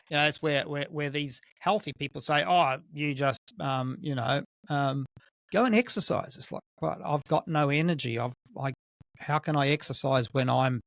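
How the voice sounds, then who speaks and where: A man's voice: medium pitch, creaky, a bit bright, no echo. A man hosting a podcast interview, talking in a conversational, empathetic way. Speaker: podcast host.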